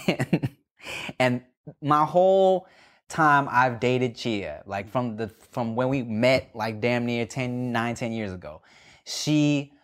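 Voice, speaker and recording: Animated man telling a story. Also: mid-range voice, slow. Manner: animated, laughs often, acts out quotes. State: phlegmy. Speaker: podcast co-host. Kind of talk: casual storytelling chat.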